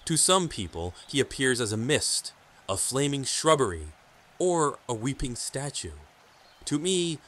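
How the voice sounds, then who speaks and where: A man's voice: mid-range voice, a bit bright, slight background noise. Man playing a grave forest hermit sage. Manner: grave, portentous, formal. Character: solemn, stern hermit keeper of sacred word. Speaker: audio drama actor. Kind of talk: audio drama acting.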